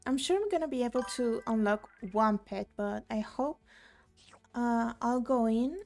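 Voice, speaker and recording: Young woman, from Argentina, casual streamer, rambling chat, slightly high voice, soft game music behind. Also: measured pace. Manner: chatty, reads chat aloud, rambling with fillers. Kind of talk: casual live-stream chat while gaming.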